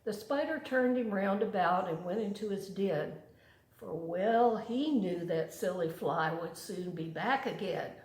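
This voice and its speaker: An older woman, a grandmother, reading a storybook poem to children in an unhurried, dramatic storytelling manner. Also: medium pitch, a little mellow, echoey room, poor audio.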